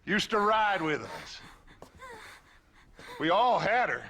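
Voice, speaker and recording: A man's voice: high-pitched, sing-song, creaky, sharp tone, a little hiss or hum, exaggerated voice. A man playing a theatrical, mocking outlaw gang leader in a Western video game cutscene. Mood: taunting. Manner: slow, mocking, grandiose.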